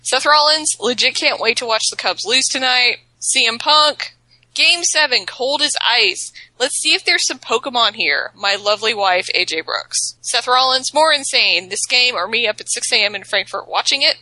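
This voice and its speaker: Bright-voiced woman reading tweets aloud. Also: on the high side, moderate pace, no room sound, low-quality recording. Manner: animated, reads quotes with playful emphasis. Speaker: podcast co-host.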